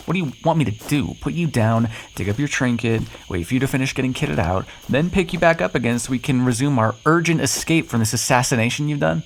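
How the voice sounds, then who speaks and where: A man's voice: medium pitch, fast talker, vocal fry, hardly any pauses, slight background noise, close to an everyday voice. A man playing a cocky, flirtatious, sardonic vampire in an ASMR roleplay, teasing the listener with pet names, sarcasm and swearing. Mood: exasperated.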